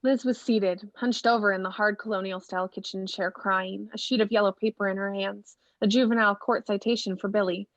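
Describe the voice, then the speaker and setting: A woman's voice: medium pitch, fairly even intonation, fairly quick, few pauses, dry recording, close to an everyday voice. An actress playing a troubled young woman who recounts her youth in reflective, literary radio play narration. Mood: reflective, grave.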